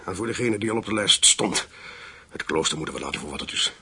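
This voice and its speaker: Hitman for radio play: low gravelly male voice, very husky, terse, impatient. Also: expressive, quick pace, sharp tone, full character voice. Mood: impatient, decisive. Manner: terse, businesslike. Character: hard, impatient male contract killer. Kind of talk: radio drama acting.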